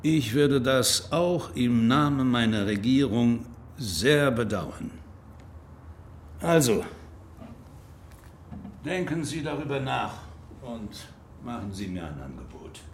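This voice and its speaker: A man's mid-pitched voice as a grave Belgian official in a radio crime drama. He speaks formally and deliberately, pressing his point. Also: animated, a little hiss or hum. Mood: formal pressure. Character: grave, insistent male Belgian government official. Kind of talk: naturalistic radio drama acting.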